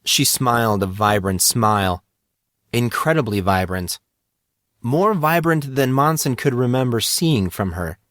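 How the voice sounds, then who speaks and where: A man's voice: mid-range voice, expressive, measured pace, a bit bright, no echo, clean studio sound. A man reading scripted fantasy fiction as an audiobook narrator.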